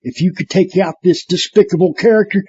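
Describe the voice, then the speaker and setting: High, folksy adviser. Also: male voice, brisk, darkish tone, slightly rough, no room sound. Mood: exasperated, urgent. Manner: folksy, exasperated drawl. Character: folksy, exasperated male political adviser. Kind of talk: comedy audio drama. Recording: dull lo-fi, faint music behind.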